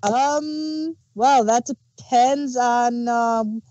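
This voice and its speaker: Female co-host, unhurried delivery, dry close recording. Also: a little high, warm tone, no echo, muffled recording. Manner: slow, agreeing, giggly asides. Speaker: podcast co-host reviewing an audio drama. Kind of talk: casual review chat.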